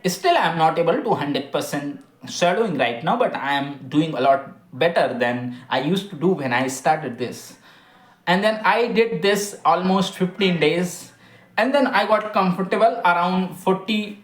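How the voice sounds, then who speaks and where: A woman's voice: on the low side, expressive, normal speed, reverberant, low-quality recording. A woman with an Indian English accent and Hindi as her first language, talking casually to camera with many restarts and small grammar slips. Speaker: vlogger.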